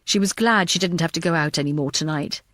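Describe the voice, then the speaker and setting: A woman's voice: low-pitched, quick pace, a bit bright, no echo, clean studio sound. A woman reading scripted thriller fiction as an audiobook narrator.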